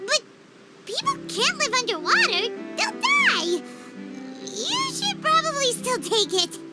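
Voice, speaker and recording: Tiny fairy companion, very high squeaky voice, wildly bouncy, loud game score behind. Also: female voice, slow, loud music behind the voice, full character voice. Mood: chirpy. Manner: squeaky, cutesy, excitable. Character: tiny floating fairy companion, chirpy and bossy. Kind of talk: game cutscene dub.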